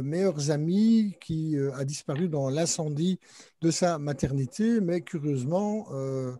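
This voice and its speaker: A man's voice: fairly high pitch, mellow, no room sound, clean studio sound. A man moderating a literary panel, introducing the guests and their books with many hesitations and fillers. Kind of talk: panel discussion hosting.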